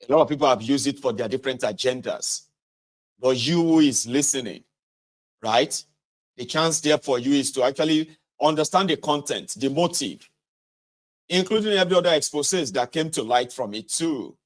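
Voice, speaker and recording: A man's voice: mid-range voice, moderate pace. Nigerian man reading aloud, chatting to listeners. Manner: conversational, addresses listeners directly, stumbles and self-corrects. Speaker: host reading a book aloud with commentary. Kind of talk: read-aloud narration with casual asides.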